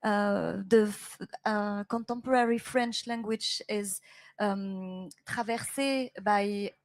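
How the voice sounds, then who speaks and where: A woman's voice: mid-range voice, fairly even intonation, slow. A woman poet answering a question on a panel. She searches for words and drops French phrases into her English. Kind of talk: panel discussion.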